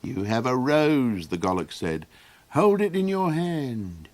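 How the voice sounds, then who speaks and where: A man's voice: medium pitch, big pitch swings, slow pace. A man voicing a scatterbrained old male Golox creature who rambles and hesitates in an audiobook. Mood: rambling. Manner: rambling, hesitant with many ums. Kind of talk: audiobook character voice.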